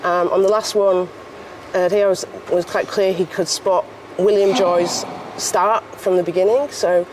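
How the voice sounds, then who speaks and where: A woman's voice: on the low side, steady tone, background noise. A woman with a Northern English accent giving chatty live commentary at a pool competition. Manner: chatty, brisk, asks follow-up questions.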